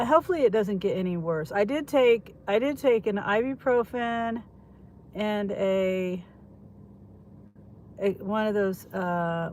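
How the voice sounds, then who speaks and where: A woman's voice: mid-range voice, slight background noise. An older woman vlogger with a congested head cold, chatting in a rambling, thinking-aloud way and often restarting her sentences. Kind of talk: casual rambling chat to camera.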